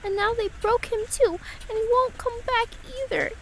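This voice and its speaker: A woman's voice: very high-pitched, steady tone, slight background noise, rough recording. Tiny-voiced frightened little girl. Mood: wistful, grieving. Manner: timid, halting, childlike phrasing. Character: frightened grieving little girl, innocent and trusting. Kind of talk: fan audio drama acting.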